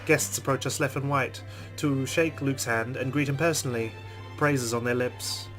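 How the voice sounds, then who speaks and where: A man's voice: medium pitch, steady tone, normal speed, over music. A man playing a visual novel, reading its first-person narration dramatically with reactions.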